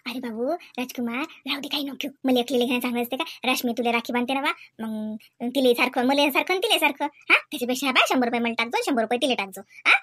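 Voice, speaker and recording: Woman acting high-pitched, bright, fussy Varhadi village aunt. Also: fast, few pauses, dry recording, studio quality. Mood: coaxing. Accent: Varhadi Marathi from Vidarbha. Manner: colloquial, rambling, coaxing and grumbling. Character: chatty, devout, fussy older village aunt, affectionate and thrifty. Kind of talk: comedy cartoon dialogue.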